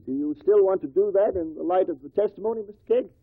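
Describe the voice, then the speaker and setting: High-pitched, formal male coroner. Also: fast talker, slightly rough, dry recording. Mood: official, matter-of-fact. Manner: formal, explanatory, plain-spoken. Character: brisk, official male coroner presiding over an inquest. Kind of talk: 1950s radio drama acting. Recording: tinny, dry old radio recording.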